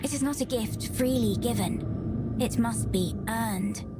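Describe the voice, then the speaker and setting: A woman's voice: fairly high pitch, natural voice. A woman voicing a cryptic ghost woman. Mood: solemn. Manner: slow, solemn, riddling speech. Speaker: game character. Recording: game audio with loud music behind.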